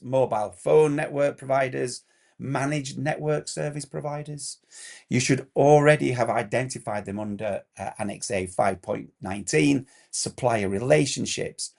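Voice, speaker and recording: A man with a hoarse, croaky throat gives a direct instructional explainer as a compliance consultant, firing off checklist questions. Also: mid-range voice, darkish tone.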